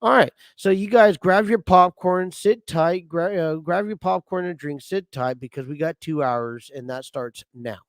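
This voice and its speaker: A man hosting a sports podcast in a casual, rambling way with many fillers, his voice slightly hoarse from a cold. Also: high-pitched, unhurried, few pauses. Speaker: podcast host.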